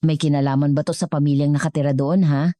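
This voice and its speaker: Woman voicing an exasperated, mellow Filipino male business partner. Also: low voice, steady tone, fast, no room sound, clean studio sound, lightly acted. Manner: exasperated, disbelieving. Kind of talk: storybook dialogue.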